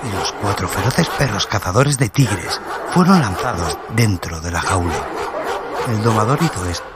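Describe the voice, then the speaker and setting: Un hombre que narra un cuento dramático con guion, como narrador de radioteatro. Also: male voice, fairly low pitch, expressive, moderate pace, very noisy. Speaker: storyteller, narrator. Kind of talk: dramatic scripted story narration. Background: loud music bed.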